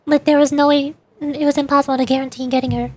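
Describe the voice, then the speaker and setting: A woman's voice: high-pitched, little pitch movement, fast, a little mellow. A woman livestreamer chatting casually over a game in a rambling, playful way. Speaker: livestreamer, VTuber. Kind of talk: casual chat while gaming, answering live chat.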